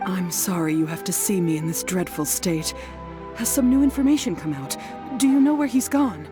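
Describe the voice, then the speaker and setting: A distressed wife, played by a woman speaks in a lowish, tearful voice, apologetic and anxious. Game music plays clearly behind her. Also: expressive, normal speed, natural voice. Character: distressed wife of missing man. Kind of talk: game voice acting.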